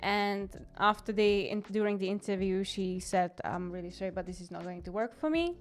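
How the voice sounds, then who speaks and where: A woman's voice: medium pitch, a little fast. A woman in her thirties from the Czech Republic, a Czech accent shaped by England, chatting cheerfully as a guest and laughing often. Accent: Czech with English influence. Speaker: guest, hotel commercial executive. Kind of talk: casual interview chat.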